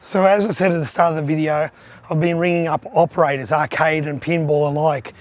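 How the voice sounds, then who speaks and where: A hoarse man who has lost his voice, giving a chatty, upbeat vlog tour of his arcade collection. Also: a little high, steady tone, brisk, no room sound. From Australia. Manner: chatty, upbeat, pointing things out.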